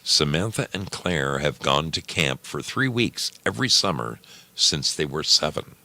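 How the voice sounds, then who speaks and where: A man narrating a story expressively, with measured, dramatic phrasing. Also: rumbling low, lively intonation, bright, forceful tone, no room sound.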